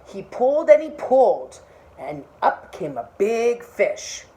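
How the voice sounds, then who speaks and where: A woman reading a storybook aloud in an expressive style of narration for children. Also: medium pitch, slow pace. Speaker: storybook reader.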